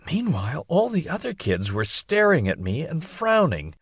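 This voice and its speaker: A man reading an audiobook as narrator, telling a first-person story in an expressive, theatrical way on a close mic. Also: on the high side, normal speed, husky, no room sound.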